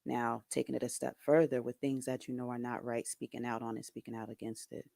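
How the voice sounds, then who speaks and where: A soft-voiced man talking casually to camera, hesitant, with many ums and restarts. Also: mid-range voice, completely flat delivery, a little fast, creaky, dry recording, clean studio sound. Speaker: video creator.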